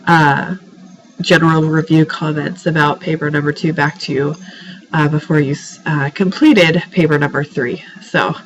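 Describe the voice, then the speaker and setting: A woman's voice: deep voice, steady tone, moderate pace, highs cut off. A woman teacher speaks informally to her students in recorded feedback. Her voice is stuffed up with a cold. She sounds exasperated and pleading, leaning firmly on her points. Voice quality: congested, stuffed-up nose. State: has a head cold. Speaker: writing teacher.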